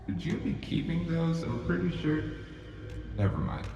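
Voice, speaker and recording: A man's voice: fairly high pitch, expressive, normal speed, gravelly, mellow, soft background music. A man voicing a wary, streetwise young male magic apprentice in an audio drama, casual and sarcastic. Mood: skeptical. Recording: roomy distant sound.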